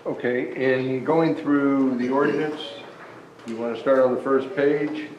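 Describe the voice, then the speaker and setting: A man's voice: medium pitch, fairly even intonation, unhurried, darkish tone, reverberant, muffled recording, a little hiss or hum. A man on the council going through a document in a matter-of-fact way. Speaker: council member.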